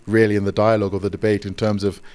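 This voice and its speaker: A man's voice: on the low side, flat delivery, very fast, darkish tone, clear, resonant tone. A man speaking as a communications officer, explaining off the cuff in an interview.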